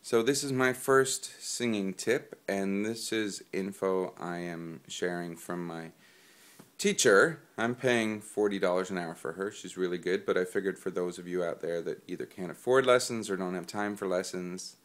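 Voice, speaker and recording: A man's voice: low voice, moderate pace. A man with a head cold, stuffed up, giving casual singing tips and rambling with plenty of ums. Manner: rambling with many fillers. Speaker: singing vlogger. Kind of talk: casual spoken tips to camera.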